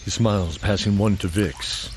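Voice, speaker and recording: Deep-voiced male storyteller over music. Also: fairly even intonation, moderate pace. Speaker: narrator. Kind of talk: scripted dramatic storytelling narration.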